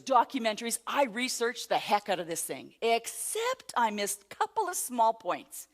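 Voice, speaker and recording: Animated woman telling a comic travel story. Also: a little high. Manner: animated, self-mocking, rising to loud exclamations. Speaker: motivational speaker. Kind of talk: storytelling keynote talk. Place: before a live audience.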